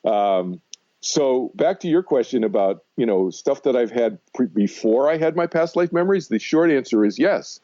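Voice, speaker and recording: A man's voice: medium pitch, lively intonation, normal speed, dark tone, lo-fi sound. An American man in his sixties telling personal stories as a guest in a conversational radio interview, emphatic and often circling back to repeat his points. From Massachusetts, United States. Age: 60s. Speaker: interview guest, meditation teacher.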